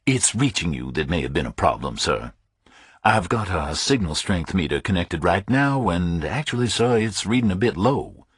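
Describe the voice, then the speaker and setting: A man's voice: deep voice, sing-song, normal speed, a bit bright, husky, dry recording, studio quality, full character voice. A man voicing a worried detective posing as a phone engineer, politely stalling with a caller. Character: uneasy male detective faking a phone-company call.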